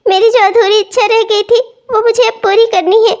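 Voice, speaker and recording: A woman's voice: very high-pitched, steady tone, normal speed. A woman playing a meek, dutiful young bride, earnest and plaintive, in a melodramatic family story. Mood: earnest resolve.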